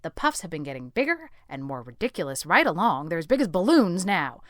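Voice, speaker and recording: A woman's voice: lowish voice, big pitch swings, a little fast, no echo, studio quality. A woman playing a stern, thrifty middle-aged spinster who speaks in a tart, disapproving way. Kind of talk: audiobook character acting.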